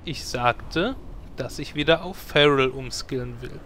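An actor playing a hapless, put-upon druid in a comedic fantasy radio play, whiny and resigned. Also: male voice, medium pitch, measured pace, sharp tone, slight background noise. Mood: pleading.

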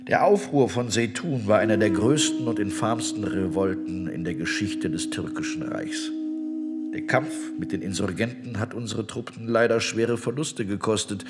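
An actor playing a self-righteous Ottoman minister in a radio play, speaking in a measured, lecturing, dismissive way. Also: lowish voice, very animated, over loud music. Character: cold self-righteous male Ottoman statesman. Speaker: government minister.

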